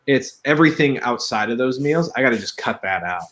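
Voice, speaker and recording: A man's voice: medium pitch, animated, brisk, a bit bright. A man from Washington State, a YouTuber guest on a podcast, teasing and talkative as he explains at length. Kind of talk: casual chat.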